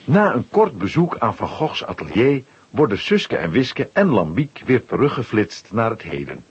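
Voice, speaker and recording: A male narrator tells a children's story with a very husky, breathy voice. His pitch is lively and mid-range, and the recording sounds slightly dull. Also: normal speed. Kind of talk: scripted storytelling for a children's audio play.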